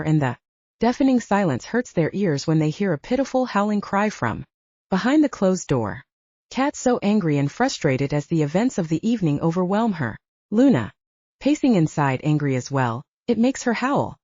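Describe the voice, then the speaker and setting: A woman's voice: lowish voice, dark tone, dry recording, highs cut off. A woman reading scripted fiction as an audiobook narrator.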